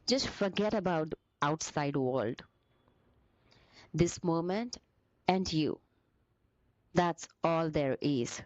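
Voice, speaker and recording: A woman leading a guided meditation as a teacher, with gentle, soothing, deliberate phrasing broken by short pauses. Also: low voice, lively intonation, dry recording, dull audio.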